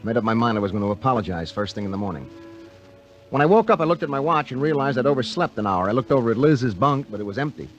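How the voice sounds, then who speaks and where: Animated American actor as a moody young husband. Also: male voice, medium pitch, fast talker, muffled recording, faint music behind, natural voice. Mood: reflective narration. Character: moody newlywed diver husband, easygoing turning grouchy. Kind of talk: 1950s radio drama acting with first-person narration. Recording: vintage 1950 radio broadcast.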